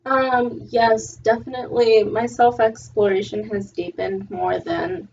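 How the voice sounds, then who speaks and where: A young woman from California speaks as a guest in a casual conversation, thoughtful and pausing now and then. She sits away from the mic, and the sound is dull, lo-fi and lightly hissy. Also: fairly high pitch, fairly even intonation, gravelly, darkish tone. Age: young adult. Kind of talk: casual podcast chat. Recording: distant dull lo-fi mic with faint hiss.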